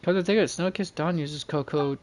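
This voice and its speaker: A livestreamer chatting casually and reading game text aloud, often musing and thinking out loud. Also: medium pitch, a little fast. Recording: clearly noisy background.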